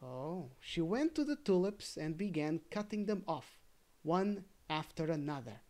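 A storybook reader telling a fairy tale in a careful, gently expressive way, with casual asides. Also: mid-range voice, unhurried.

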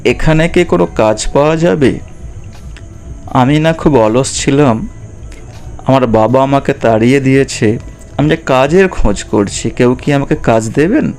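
An earnest, good-natured lazy lad in a cartoon tale, played by a man, with a medium-pitched voice. He speaks agreeably and full of promises, with music playing underneath. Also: animated, unhurried, pauses often, a little mellow. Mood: hopeful, asking. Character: lazy but good-natured young boy seeking food and work. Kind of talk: children's cartoon story dialogue.

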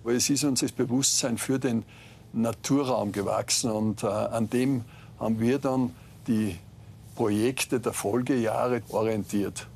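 A man's voice: mid-range voice, fairly even intonation, a little slow, gravelly, a little mellow, slight background noise. A middle-aged man, a cable car executive, answering factually with hesitant fillers inside a gondola. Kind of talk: interview.

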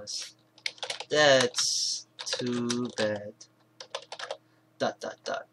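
A man presenting an informal software tutorial, thinking aloud in a halting, rambling way and correcting himself as he goes. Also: medium pitch. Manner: halting, rambling, self-correcting, mumbled asides. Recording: clear background noise, keyboard and mouse clicks.

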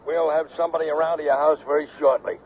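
A man's voice: on the high side, flat delivery, racing. A man acting a businesslike emergency dispatcher over a telephone in a radio drama. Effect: telephone filter. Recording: noisy telephone line in vintage radio play.

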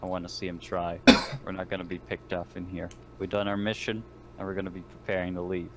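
A man's voice: sits low, little pitch movement, quick pace, very noisy. A man chatting casually as a player in an online tabletop game, blunt, assertive and sweary. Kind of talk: casual gaming chat, sweary.

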